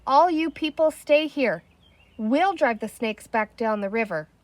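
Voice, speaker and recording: Storybook girl, played by a woman: bossy, exasperated, firm scolding delivery, highish and animated. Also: unhurried, no echo. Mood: commanding. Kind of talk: children's storybook character voice.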